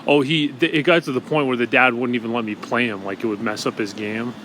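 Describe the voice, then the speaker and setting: A man in his forties in casual chat, recounting stories with halting false starts. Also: medium pitch, steady tone, fairly bright tone, a little hiss or hum. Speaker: guest.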